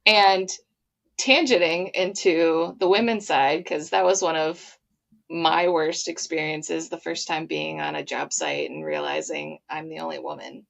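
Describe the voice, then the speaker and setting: A woman's voice: low-pitched, steady tone, measured pace, creaky, fairly bright tone. A woman hosting an interview, talking in a reflective, conversational way. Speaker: podcast host.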